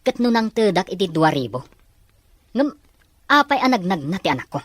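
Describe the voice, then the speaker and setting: A man's voice: high voice, very animated, normal speed, fairly bright tone, no room sound, heavily acted. A man playing a concerned, dutiful father in an Ilocano drama, speaking earnestly. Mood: annoyed. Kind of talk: Ilocano radio drama acting.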